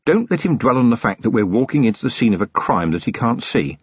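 A man voicing a seasoned, gruff police commander in an audiobook, dry, matter-of-fact and fatherly. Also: mid-range voice, sing-song, fast, no room sound, dull audio. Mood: wry, wary.

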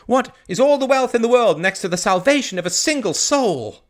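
A man's voice: very high voice, expressive, brisk, pitched above the performer's own voice. A man voicing a fervent village priest who preaches in an impassioned, rhetorical manner. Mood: fervent preaching. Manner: impassioned, sermonizing, rhetorical questions. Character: fiery preaching village priest, male, middle-aged.

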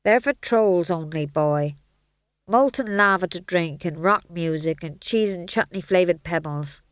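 A woman's voice: on the low side, a little slow, clean tone, no room sound, lightly acted. A woman voicing Howell, a gloomy, wary male dwarf who grumbles dryly. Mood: weary.

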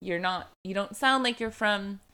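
A woman's voice: medium pitch, moderate pace, bright, forceful tone, smooth. A young woman hosting a true-crime podcast, telling a case in a casual chatty way, full of "like" and "um" fillers. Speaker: podcast host.

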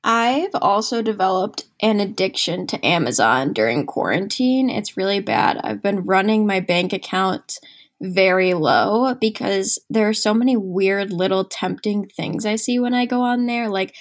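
A woman's voice: medium pitch, lively intonation, a little slow. A young woman hosting a casual podcast chat, joking and swearing freely. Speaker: podcast host.

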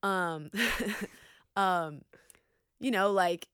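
A woman's voice: lowish voice, fairly even intonation, extremely slow, a bit bright, smooth, dry recording, studio quality. A young woman co-hosting a casual chat, telling a story with insistent, chatty energy. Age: young adult. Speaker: podcast co-host, college student.

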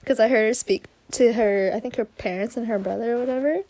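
A woman's voice: fairly high pitch, fast, warm tone. A young woman chatting casually on a group podcast, candid and teasing, with slangy phrasing. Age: young adult. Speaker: podcast panelist. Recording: light background noise.

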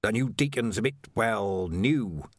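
A man's voice: fairly low pitch, animated, measured pace, no room sound, clean studio sound. A man voicing a morbid, sulky, pleading gravedigger in an audiobook. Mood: sheepish. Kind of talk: audiobook character voice.

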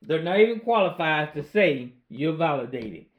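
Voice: medium pitch, very animated, normal speed. A podcast co-host in casual chat, affirming the other speaker and briefly preaching about faith. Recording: roomy, dull lo-fi sound.

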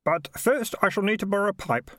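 A man's voice: sits high, expressive, fairly quick, fairly bright tone, no room sound, clean studio sound, voice pushed up. A man voicing a plucky, earnest orphan boy in a storybook, speaking plainly and defiantly. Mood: determined.

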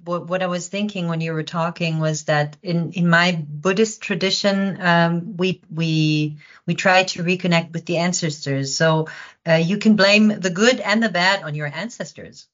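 A woman therapist speaks in a lowish voice, feeling her way through her sentences and often repeating words. The recording sounds muffled and dull. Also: moderate pace. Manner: searching, repeats words while thinking. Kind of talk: podcast interview.

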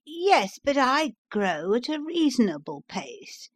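A woman's voice: high voice, big pitch swings, pitched above the performer's own voice, full character voice. A woman voicing a small, sleepy male dormouse with a sulky, complaining manner in a storybook reading. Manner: slow, complaining.

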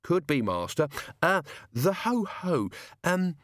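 A male narrator acts out an old manservant in a fantasy tale, wry and colloquial. He coaxes his master deferentially, with a raised, very mobile pitch and a dry, close sound. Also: big pitch swings, slow, no echo. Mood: awkward.

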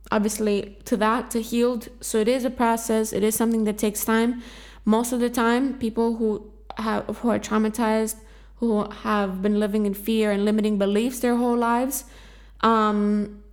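A woman's voice: fairly high pitch, darkish tone. A woman whose first language is Greek, hosting a self-help podcast. She gives earnest, encouraging instructions and often repeats herself. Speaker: podcast host.

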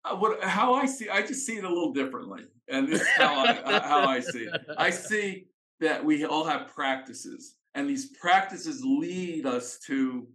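A man's voice: on the high side, lively intonation, normal speed, bright, forceful tone. A man co-hosting a podcast, a photographer, talking casually with a laugh in his voice. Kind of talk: casual conversation.

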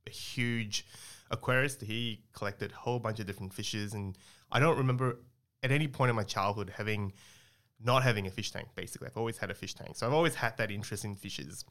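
A young man from Singapore talks as an interview guest, his voice sitting a little low. He explains at length in a relaxed, chatty way and restarts his sentences often, leaning on plenty of fillers. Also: fast talker. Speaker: guest scientist. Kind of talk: casual interview talk.

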